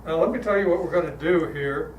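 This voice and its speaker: An older man speaking as a guest at a church, telling stories in a relaxed, chatty way with frequent fillers, his voice calm. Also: on the high side, a little fast. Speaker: guest speaker leading a guided meditation. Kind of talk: storytelling talk to a congregation. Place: church hall. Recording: echoey hall, heard from a distance, noisy.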